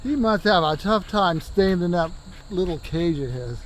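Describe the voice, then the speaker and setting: Male, high-pitched, joking casual small talk, outdoor setting with faint noise. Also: expressive, moderate pace, poor audio. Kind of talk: casual chat.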